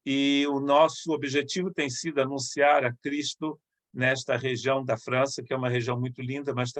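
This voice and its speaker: A middle-aged man from Rio de state, a missionary pastor speaking to a church in a conversational, halting way with restarts. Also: medium pitch, level tone, no room sound. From Brazil, Rio de Janeiro state.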